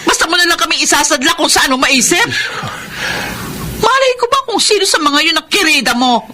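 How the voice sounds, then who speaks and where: A woman's voice: extremely high pitch, lively intonation, a little fast, bright, forceful tone, heavily acted. An actress in a Filipino radio drama playing an embittered, defiant wife and mother, speaking with impassioned reproach. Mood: indignant reproach. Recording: noisy radio drama recording.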